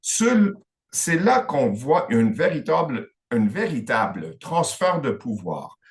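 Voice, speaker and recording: A man on an online panel describing a funding example in a measured, deliberate way with occasional restarts. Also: medium pitch, big pitch swings. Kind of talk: explaining an example on a webinar panel.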